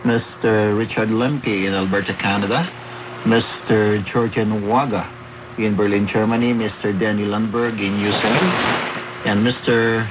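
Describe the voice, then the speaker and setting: A man's voice: lowish voice, fairly even intonation, unhurried, lo-fi sound. A man from the Philippines with a Filipino accent, reading a scripted report as a radio correspondent. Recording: noisy shortwave radio broadcast.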